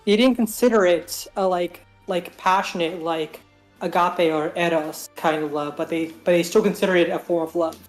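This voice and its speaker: A co-host on a relaxed art livestream, explaining ideas eagerly with many "like" fillers. The pitch is middling, and faint music plays behind. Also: moderate pace, poor audio. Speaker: indie animation team member, stream co-host. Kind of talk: casual livestream chat.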